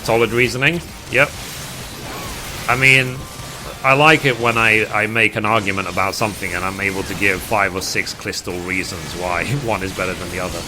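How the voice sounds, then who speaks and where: A man's voice: on the low side, fairly even intonation, moderate pace, poor audio. A man with a British accent chatting casually and at rambling length with his live stream viewers as a gaming streamer. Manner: rambling, reading chat aloud and replying. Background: music.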